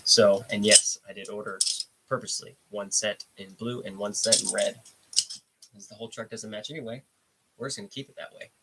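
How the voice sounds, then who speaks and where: A man with a blocked, stuffy nose hosts a casual live stream, chatting in a rambling, unhurried way. His voice sits a bit low. A faint hiss runs under the recording. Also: fairly even intonation, creaky. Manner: laid-back, rambling, reads out chat comments. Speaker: livestream host.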